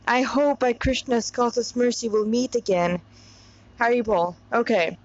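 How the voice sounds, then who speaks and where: A woman with a somewhat high-pitched voice narrates in a homemade, informal style. She rambles and digresses, slipping between reading and chatty explanation. The sound is a little dull and lo-fi. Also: normal speed. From Montreal, Canada. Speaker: narrator reading a story aloud with commentary. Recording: dull lo-fi recording.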